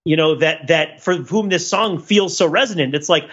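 A man's voice: fairly high pitch, moderate pace, a bit bright, slightly rough, muffled recording. A man co-hosting a podcast, giving casual, opinionated cultural commentary in a rambling, digressive way, as if thinking aloud. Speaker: podcast co-host.

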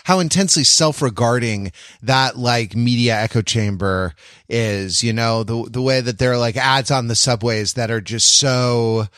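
A man co-hosting a podcast in casual discussion, halting and often interrupting himself as he works through a thought. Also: medium pitch, a bit bright, no echo, studio quality. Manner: rambling, halting, self-interrupting. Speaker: podcast co-host.

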